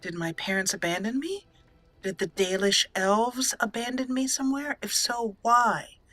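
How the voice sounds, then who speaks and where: A woman hosting a video game let's play, giving in-character roleplay commentary in a dramatic, deliberate way with pauses. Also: fairly high pitch, a bit bright, faint music behind.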